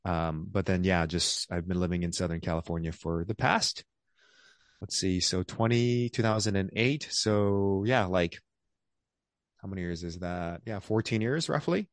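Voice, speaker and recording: A man in his thirties with a very low, deep voice talks in an easygoing, rambling way. He fills his sentences with "um" and "you know" as he answers questions as a guest. His voice sounds close and dry, with no room sound. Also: moderate pace, no echo. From born in South Korea, raised across the United States. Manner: easygoing, rambling, many fillers. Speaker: guest, physician. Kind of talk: casual interview conversation.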